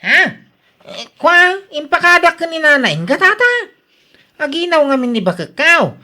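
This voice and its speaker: A woman's voice: high-pitched, big pitch swings, normal speed, pushed and bright, full character voice. A woman voicing a meek, embarrassed young husband in a radio drama, hesitant, with an Ilocano accent. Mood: flustered. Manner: hesitant, sheepish. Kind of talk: Filipino radio drama acting.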